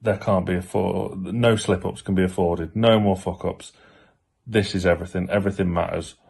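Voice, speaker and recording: A man's voice: low voice, fairly even intonation, moderate pace, gravelly, darkish tone, slightly rough. A man giving blunt, critical post-match football opinion, repeating his points for emphasis. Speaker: fan channel pundit.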